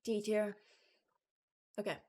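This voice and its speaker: A woman's voice: mid-range voice, flat delivery, takes it slowly, mellow. Casual, rambling young woman commentator. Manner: informal, rambling, candid. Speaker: video creator.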